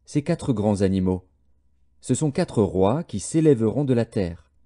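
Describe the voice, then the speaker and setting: A man narrating a scripted Bible audiobook in a solemn, measured, formal way. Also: on the low side, animated, dark tone.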